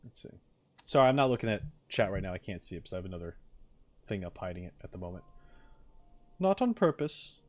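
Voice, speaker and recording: A man's voice: low voice, big pitch swings, fast talker, long pauses, darkish tone. A man streaming in a casual chat style, hesitant as he thinks aloud.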